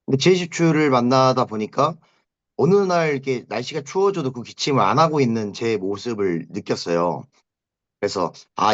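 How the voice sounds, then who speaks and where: A man in his thirties gives an informal spoken testimonial to a group, speaking haltingly with drawn-out pauses. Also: medium pitch. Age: 30s. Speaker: business owner giving a product testimonial. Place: online video meeting. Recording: dull, lo-fi online meeting audio.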